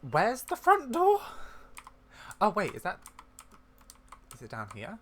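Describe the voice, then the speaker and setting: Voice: medium pitch, huge pitch swings, takes it slowly, fairly bright tone. A gamer giving casual let's play commentary, rambling and thinking aloud in a confused way, sometimes reading quest dialogue aloud. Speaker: gaming video creator. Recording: faint background noise with keyboard typing.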